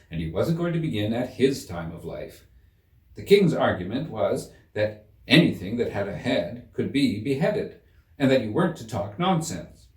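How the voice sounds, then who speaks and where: Expressive male storyteller in an echoey room. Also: on the low side. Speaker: audiobook narrator. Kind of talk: expressive children's story narration. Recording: roomy echo, slightly distant mic.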